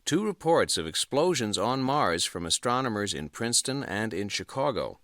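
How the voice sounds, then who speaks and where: A man's voice: lowish voice, very animated, normal speed, fairly bright tone, no echo, studio quality. An actor playing a serious radio newsman reporting breaking news in a radio drama. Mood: urgent professional.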